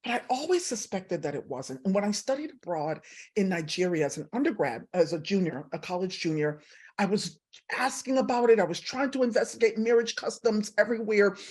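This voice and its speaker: Woman, professor being interviewed, slightly husky voice, mid pitch, thoughtful, halting explanations. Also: expressive, darkish tone. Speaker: professor and author guest.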